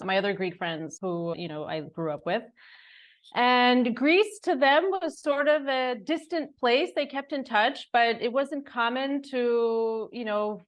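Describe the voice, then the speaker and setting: American woman chatting as an interview guest. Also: mid-range voice. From New York, United States. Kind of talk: casual conversational storytelling.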